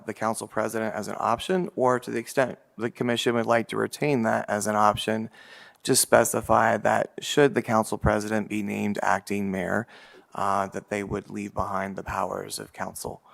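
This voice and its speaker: A man presenting a proposal to a city commission in a measured way, with many fillers. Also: fairly low pitch, creaky, a bit bright. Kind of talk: public meeting presentation.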